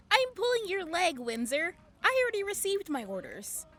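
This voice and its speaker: Lady playing teasing army officer, high voice, super lively pitch, informal. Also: measured pace, full character voice. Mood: playful. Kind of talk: audio drama acting.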